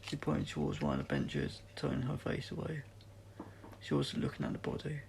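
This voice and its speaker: A man's voice: medium pitch, sing-song, normal speed, creaky, very noisy. A man reading a story aloud as an audiobook narrator, in an amateur style with occasional stumbles. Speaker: audiobook reader.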